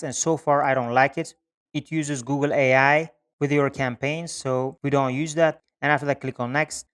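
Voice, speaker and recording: A man's voice: mid-range voice, a bit bright, smooth. A man presenting a step-by-step screen-share tutorial in a repetitive, instructional way. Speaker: online marketing tutorial presenter.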